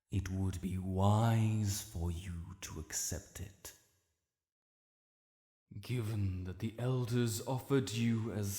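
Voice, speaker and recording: A man's voice: low voice, warm tone. Man voicing an ancient British dragon lord, cavernous reverb. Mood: stern, softening. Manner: formal, proud, measured and imperious. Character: ancient proud honorable male dragon lord, stern guardian. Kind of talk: fantasy audio roleplay addressed to the listener. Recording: roomy with long cavernous reverb.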